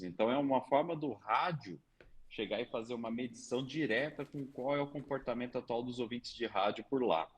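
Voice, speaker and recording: A man with a low, slightly husky voice reports the news at an even, measured pace. He sounds like a regular commentator running through a news item.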